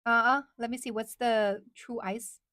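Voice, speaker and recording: Young woman, higher voice, dry close sound; chatty livestream host who echoes viewer questions back. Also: fairly even intonation, measured pace, sharp tone. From China. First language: Chinese. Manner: chatty, repeats questions aloud, switches between English and Mandarin. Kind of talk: casual livestream chat reading viewer comments.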